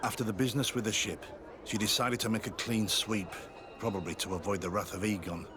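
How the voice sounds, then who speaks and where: A male actor plays a guilt-ridden sergeant turned secret informant in a video game. His low voice is earnest and guarded, as if confiding. Outdoor noise runs clearly behind him. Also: fairly even intonation, a little fast, lightly acted. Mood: grave. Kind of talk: video game cutscene acting.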